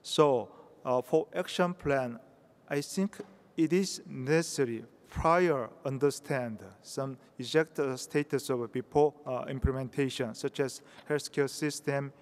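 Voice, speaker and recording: A Korean-accented man presents at a conference, pausing often as he searches for words. His voice sits in the middle range and carries a long hall reverb. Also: medium pitch. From South Korea. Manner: halting, many hesitations. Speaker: conference speaker.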